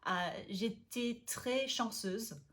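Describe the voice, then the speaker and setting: A young woman from Vienna whose first language is Austrian German, chatting casually in a vlog, halting and full of fillers, with frequent self-amused laughs and stray English words. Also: a little high, a bit bright. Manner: laughs often at herself and slips into English words. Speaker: language vlogger.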